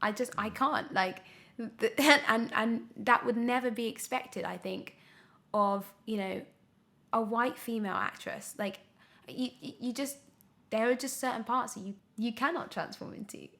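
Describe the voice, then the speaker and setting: Young female actress as podcast guest, gravelly creak, reflective and halting, conversational delivery. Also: fairly high pitch, fairly bright tone. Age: young adult. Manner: hesitant, reflective, thinking aloud. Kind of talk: candid casual interview conversation.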